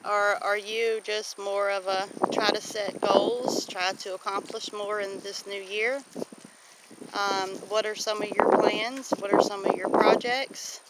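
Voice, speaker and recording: A woman's voice: medium pitch, fairly even intonation, unhurried. A woman with a Southern US accent chatting in a folksy, casual way as she records a walk-and-talk homestead vlog outdoors. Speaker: homestead vlogger. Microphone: camera microphone. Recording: breezy outdoor wind and ambient noise.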